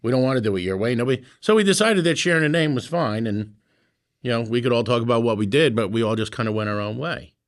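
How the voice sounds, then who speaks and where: A man's voice: mid-range voice, quick pace. A middle-aged man, a founding club member, telling wry stories in a casual group chat. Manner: wry, storytelling, frequent fillers.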